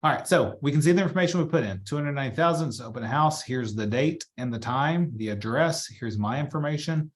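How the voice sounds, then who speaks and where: A man with a mid-pitched voice leads an informal training walkthrough. He talks in a chatty, casual way, thinking out loud as he moves through each step. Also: animated, a little fast, hardly any pauses. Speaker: real estate trainer. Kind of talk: casual live software walkthrough.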